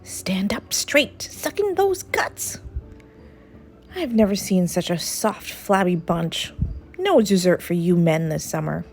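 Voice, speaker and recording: A woman voicing a gruff male camp leader who barks drawn-out orders at boys in a children's story. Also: on the low side, sing-song, soft background music, full character voice. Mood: barking, scornful. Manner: barking, drawn-out commands.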